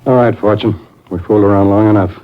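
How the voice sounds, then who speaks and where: An actor playing a sarcastic, menacing gangster boss in a 1950s radio crime drama. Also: male voice, on the low side, fairly even intonation, fast, close to an everyday voice. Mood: threatening. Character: sneering male gangster boss, menacing and mocking. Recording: dull vintage 1950s radio recording.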